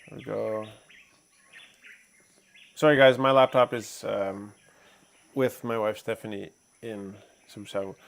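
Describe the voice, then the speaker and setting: Man, casual livestream host, relaxed, laughing, reading an article aloud. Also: lowish voice, gravelly. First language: English, Fijian not first language. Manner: relaxed, laughs often, reads haltingly.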